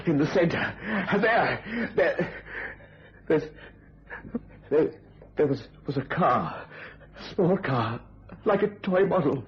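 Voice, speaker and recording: An actor playing a tormented husband who fears for his sanity in a radio thriller, agitated and highly dramatic. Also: animated, slow pace, pauses often, breathy edge, exaggerated voice. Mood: frantic. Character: tormented husband fearing he is going mad. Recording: muffled radio recording, music behind.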